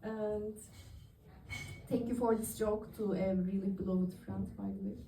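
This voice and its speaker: A woman's voice: medium pitch, fairly even intonation, unhurried, vocal fry, mellow, far from the mic, a little hiss or hum. A woman in her thirties from Turkey doing open-mic stand-up comedy, chatty and laughing at her own jokes. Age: 30s. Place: comedy club stage, audience in the room. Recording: echoey room recorded from afar.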